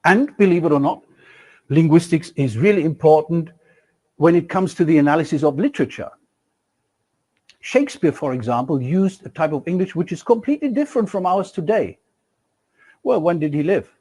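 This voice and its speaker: A man's voice: moderate pace, pauses often, breathy edge. A middle-aged man giving a recorded university e-lecture, asking rhetorical questions and stressing words with strong swings in his delivery. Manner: animated, rhetorical questions, emphatic swings in pitch.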